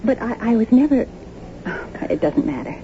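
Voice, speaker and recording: A woman's voice: on the high side, very animated, normal speed. A woman acting in a radio drama as an anxious, bewildered young wife, speaking hesitantly and uneasily. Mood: nervous. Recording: tinny, narrow-band, noisy old radio broadcast.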